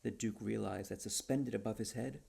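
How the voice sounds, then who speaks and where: Man, low gravelly husky voice, unhurried dramatic storybook narration, author reading. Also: warm tone. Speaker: author reading own book.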